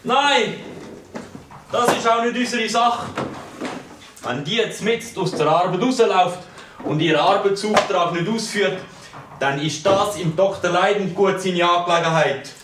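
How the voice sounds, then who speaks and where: A man's voice: high voice, a little slow. A man with a Swiss German accent playing a brusque, dismissive police officer in a children's radio play, with a mocking way of talking. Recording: roomy, distant, noisy recording.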